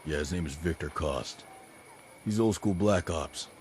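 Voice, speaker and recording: An actor playing a steady, determined special forces soldier in a video game cutscene. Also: male voice, low voice, measured pace, slight background noise. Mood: businesslike.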